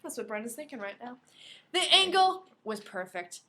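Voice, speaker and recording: High-voiced woman reading a novel aloud. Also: very animated, normal speed, sharp tone. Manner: playful, breaks into joking asides. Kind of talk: expressive audiobook-style reading with casual asides.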